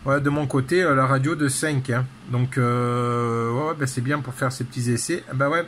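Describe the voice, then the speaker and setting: A man's voice: medium pitch, fairly even intonation, moderate pace, a bit bright. A man talking casually and technically as an amateur radio operator, in a hesitant, rambling way full of repeated tag words. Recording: clear background noise.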